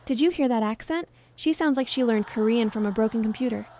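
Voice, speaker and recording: A woman's voice: a little high, a little fast, mellow, slight background noise, natural voice. A woman narrator voicing a haughty, possessive teenage mean girl in a story, sweet but cutting. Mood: sneering contempt.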